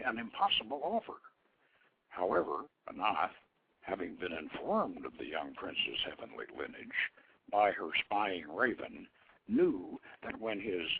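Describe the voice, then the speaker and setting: A man reading a scripted story aloud as a theatrical, deliberate and formal narrator, over a narrow, tinny phone-line connection. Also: on the low side, gravelly, slightly rough. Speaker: host reading his own novelette.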